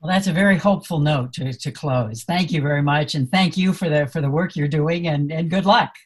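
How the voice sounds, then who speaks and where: A podcast host asking interview questions in a measured way, often repeating words while forming them. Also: mid-range voice.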